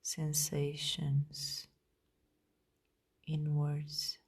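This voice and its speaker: A woman leading a guided meditation in a gentle, soothing, unhurried way with long pauses, her voice soft and at times breathy. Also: deep voice, monotone. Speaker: meditation guide, podcast host.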